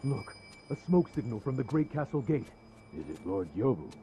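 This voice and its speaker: Man as loyal game soldier, very dark timbre, reverent, declarative, faint ambience. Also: medium pitch, very animated, moderate pace, a little hiss or hum, natural voice. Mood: alert, assured. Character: earnest Ashina samurai soldier on watch. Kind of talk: video game voice acting.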